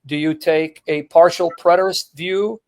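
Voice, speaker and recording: A man with a fairly high voice hosts a casual call-in debate. He talks bluntly and teases his caller, wandering off mid-sentence while fiddling with his equipment. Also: steady tone, measured pace. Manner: blunt, teasing, rambling while fumbling with his setup. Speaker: live-stream host debating callers.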